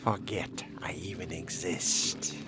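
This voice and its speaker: Guy doing a suave vampire for game roleplay, really low slow murmur, formal and menacing, loud music behind. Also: steady tone. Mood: sly musing. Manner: formal, theatrical, murmuring, often whispery. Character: suave predatory male vampire fledgling, sardonic and genteel. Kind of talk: in-character role-play narration of a video game.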